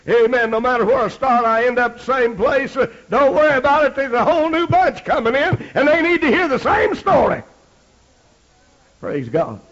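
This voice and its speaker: Male preacher, American accent, middle-aged, gravelly, fairly high voice, folksy revival sermon delivery, dull lo-fi recording. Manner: swings between low folksy storytelling and loud shouted exhortation; punctuates phrases with amen. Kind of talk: Pentecostal holiness sermon.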